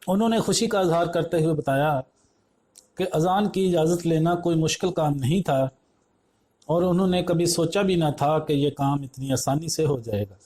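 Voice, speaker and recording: Mellow, higher-pitched man reading news. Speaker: news report narrator. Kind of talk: scripted news narration.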